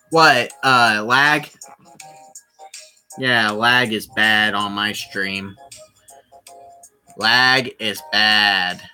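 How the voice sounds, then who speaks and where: Casual game streamer, sharp bright delivery, slow pace with repeated phrases, music underneath. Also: medium pitch. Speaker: streamer playing a visual novel. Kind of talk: casual livestream commentary with read-aloud.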